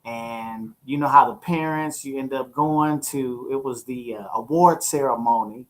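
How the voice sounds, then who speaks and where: A middle-aged American man hosting a podcast, chatting casually in a rambling, slangy, animated storytelling way. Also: mid-range voice, unhurried. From St. Louis, USA. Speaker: podcast host. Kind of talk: casual chat.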